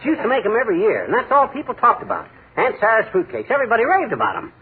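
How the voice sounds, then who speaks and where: 1940s radio comedy: a big-talking braggart husband, high and bouncy; tinny old broadcast with hiss. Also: male voice, wildly swooping pitch, racing, husky, heavily acted. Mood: blustering. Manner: blustering, big-talking, folksy slang. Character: boastful scheming middle-aged husband.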